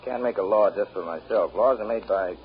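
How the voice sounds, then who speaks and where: A man's voice: fairly low pitch, fairly quick, lightly acted. An actor playing a patient, kindly father who explains things plainly in a 1930s radio drama. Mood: patient, explanatory. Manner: colloquial, explanatory, deferential to authority. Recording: muffled, hissy 1930s radio recording.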